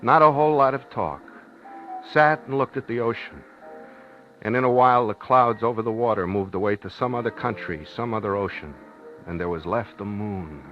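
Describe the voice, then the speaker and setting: A man's voice: lowish voice, moderate pace, natural voice. An actor playing a plainspoken, anxious, guilt-ridden man in a 1950s radio drama. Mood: wistful. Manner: plainspoken, folksy, wistful storytelling. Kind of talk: 1950s radio drama acting with first-person narration. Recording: muffled 1950s radio recording with music.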